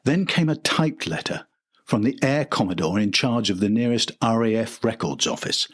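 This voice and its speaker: A man's voice: on the low side, expressive, normal speed, husky. An older man reading a scripted documentary narration as the host and storyteller.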